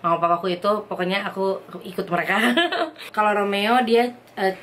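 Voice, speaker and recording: A woman's voice: mid-range voice, slight background noise. A young woman from Indonesia chatting casually as a vlogger, reading out viewer questions and answering them at home. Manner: lively, chatty, quick bursts, reads questions aloud. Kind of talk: casual chat.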